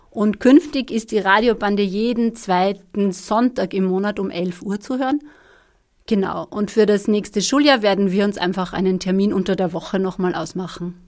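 A woman presenting on live radio, making informal announcements to listeners. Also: mid-range voice.

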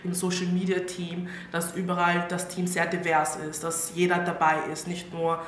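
A woman's voice: on the low side, monotone, normal speed. A woman with an Austrian accent gives informal opinions as a panelist, colloquially dropping English words into her German. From Styria, Austria. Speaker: panelist, social media activist. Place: indoor public event venue. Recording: roomy echo, clear background noise.

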